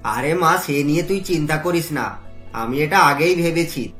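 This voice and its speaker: Low-voiced earnest cartoon father. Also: moderate pace, fairly bright tone. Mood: reassuring. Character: doting, worried middle-class father of a bride. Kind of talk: cartoon voice acting. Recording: roomy echo, music behind.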